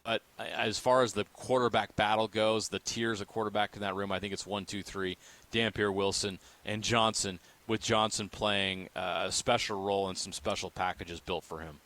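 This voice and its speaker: A man's voice: on the low side, fairly even intonation, moderate pace, fairly bright tone. A man hosting sports radio, giving his analysis in conversation.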